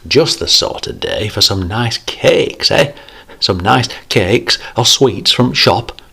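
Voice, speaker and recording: A man's voice: lowish voice, expressive, slow, vocal fry, bright, forceful tone, breathy edge, pitched above the performer's own voice, exaggerated voice. A man voicing a jovial, preachy older man, raising his voice above his narration. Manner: pleading, then cheery and coaxing.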